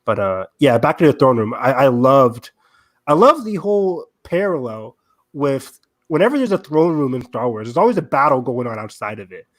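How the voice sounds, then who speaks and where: A man's voice: mid-range voice, lively intonation, normal speed. A man co-hosting a fan podcast, talking in a casual, conversational way. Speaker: podcast co-host.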